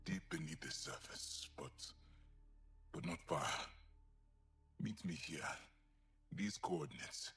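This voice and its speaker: Slow, deep-voiced distrustful alien soldier. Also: male voice, a bit bright, faint music behind, heavily acted. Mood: subdued, focused. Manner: halting, dramatic. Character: distrustful, anguished male alien resistance soldier. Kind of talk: video game cutscene acting.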